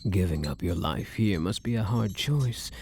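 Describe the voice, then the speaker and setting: A man acting as a low-voiced, possessive elf prisoner. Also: animated, a little mellow. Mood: smug, coaxing. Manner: teasing, drawn-out doting endearments, theatrical. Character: possessive, lovestruck male elf prisoner, dangerously sweet. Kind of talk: intimate ASMR roleplay acting.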